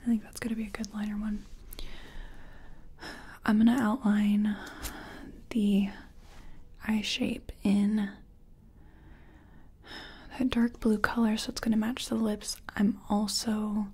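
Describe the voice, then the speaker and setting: A woman speaking softly, mostly in whispers, in an ASMR makeup-artist roleplay, murmuring step by step as if to a client. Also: medium pitch, little pitch movement, slow, pauses often, slightly rough. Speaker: ASMR creator role-playing a makeup artist. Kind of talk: soft-spoken ASMR personal attention roleplay.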